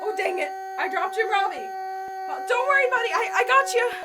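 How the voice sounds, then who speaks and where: A woman's voice: very high-pitched, animated, brisk, very noisy. A woman playing a frantic but upbeat young adventurer in an audio drama, flustered and reassuring.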